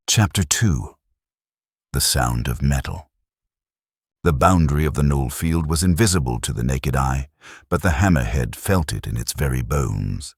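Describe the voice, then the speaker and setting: Man narrating sci-fi, extremely deep voice, husky, dramatic, dry close sound. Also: no echo. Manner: dramatic, expressive storytelling. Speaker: audiobook narrator.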